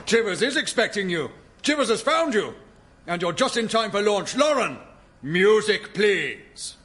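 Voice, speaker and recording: An actor playing a boastful, scheming villain in a radio comedy, grandiose and theatrically menacing. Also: male voice, sits high, sing-song, measured pace, a bit bright, exaggerated voice. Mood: grand menace. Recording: echoey, with music behind.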